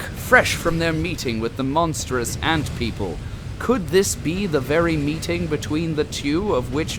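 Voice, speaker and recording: A man playing a melodramatic narrator of an audio serial, grandiose and deliberate. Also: on the high side. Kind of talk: old-time serial narration.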